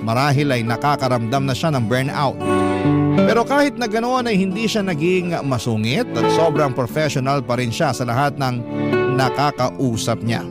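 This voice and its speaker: A man's voice: medium pitch, expressive, normal speed. Male radio storyteller over prominent guitar music. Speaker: radio drama host and narrator reading a letter. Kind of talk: scripted first-person story narration.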